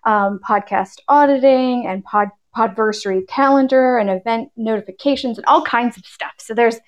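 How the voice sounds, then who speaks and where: A woman's voice: fairly high pitch, moderate pace. A woman guest on a podcast, explaining her projects casually in a chatty, self-amused way over a slightly dull online call. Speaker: podcast guest, podcast network founder.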